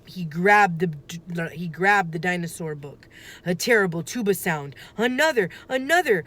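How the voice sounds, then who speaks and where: Slow, lowish-voiced woman reading a children's story. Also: lively intonation, fairly bright tone. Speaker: teacher reading a book aloud. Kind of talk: expressive children's story read-aloud.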